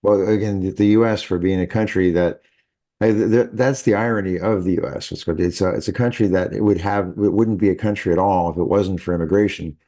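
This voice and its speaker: Man, low-pitched, podcast host, emphatic, thinking aloud. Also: steady tone, fairly quick, dark tone. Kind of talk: podcast conversation.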